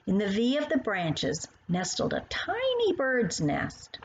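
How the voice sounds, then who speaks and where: A woman's voice: medium pitch, wildly swooping pitch, slow pace. A woman reading her own storybook aloud for young children, addressing the little listeners directly. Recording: dull lo-fi sound with faint noise.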